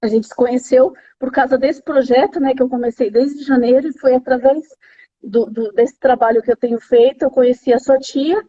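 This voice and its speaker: A woman's voice: sits high, steady tone, moderate pace, mellow, slightly rough. A Brazilian woman with a São Paulo accent hosts a casual live chat, interviewing a friend. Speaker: host of a live interview series. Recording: slightly dull lo-fi live-stream audio.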